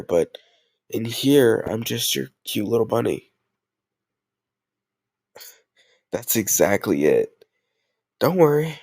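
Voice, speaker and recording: A man's voice: fairly low pitch, gravelly, a little mellow, no echo, clean studio sound, exaggerated voice. A man playing a tough gang leader who is a playful, doting boyfriend in an intimate roleplay audio, teasing and mock-grumbling affectionately. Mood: teasing, tender.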